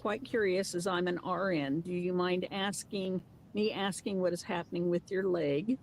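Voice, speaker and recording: A woman hosting a live craft stream, reading viewer comments aloud in a brisk, casual way. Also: on the low side, steady tone, slight background noise. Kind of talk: casual chat.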